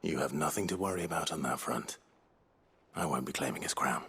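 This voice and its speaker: An actor with an English accent playing a brooding, dutiful fantasy game hero, measured and earnest. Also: male voice, extremely low pitch, fairly bright tone, husky, exaggerated voice. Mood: calm, reassuring. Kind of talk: video game drama acting.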